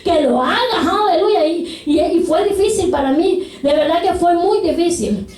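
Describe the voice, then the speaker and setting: A woman preaches with fervor, her voice pitched high and peppered with repeated praise. She sits back from the microphone, and the room rings with a strong echo around her. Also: moderate pace, distant mic, background noise. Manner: fervent, repeats hallelujah and glory to God. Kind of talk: sermon.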